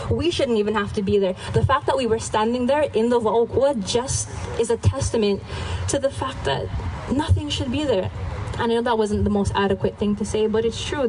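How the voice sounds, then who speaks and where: A woman's voice: mid-range voice, darkish tone, slight background noise, low-quality recording. Passionate young woman giving a speech. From Hawaii. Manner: passionate, casual, self-deprecating asides. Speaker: activist speaker. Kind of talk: speech at a rally.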